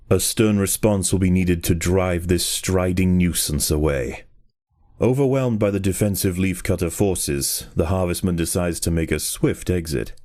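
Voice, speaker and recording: Deep voiced guy narrating like a nature doc, mellow and dark, measured n dramatic. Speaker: game narrator. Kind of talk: scripted nature documentary narration.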